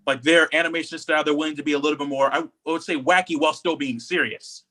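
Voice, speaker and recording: A man with a bright, forceful voice pitched a bit high, chatting as a podcast co-host. He talks in an opinionated, rambling way and often circles back to repeat a phrase. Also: steady tone, fast talker. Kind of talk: casual chat.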